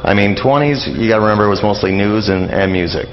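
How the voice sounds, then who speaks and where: A middle-aged man, explaining things as a guest in a casual radio interview, halting and rambling as he goes. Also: on the low side, highs cut off, noisy background. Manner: halting, rambling explanations peppered with "you know" and "like".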